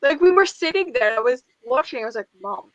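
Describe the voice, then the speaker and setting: A woman's voice: high voice, lively intonation, quick pace, a bit bright, dry recording, dull audio. A woman with a Dutch accent, chatting casually and effusively as a co-host of a book channel. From Netherlands. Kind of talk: casual group chat.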